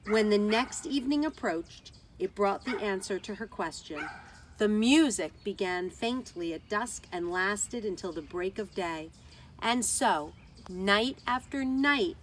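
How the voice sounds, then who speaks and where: A woman's voice: on the high side, a little hiss or hum. A woman teacher reading a children's story aloud outdoors, expressively, with dramatic emphasis on key words.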